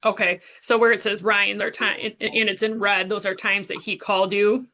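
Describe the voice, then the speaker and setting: Woman, prosecuting attorney, bright mid-pitched voice, formal procedural courtroom questioning. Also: level tone, normal speed.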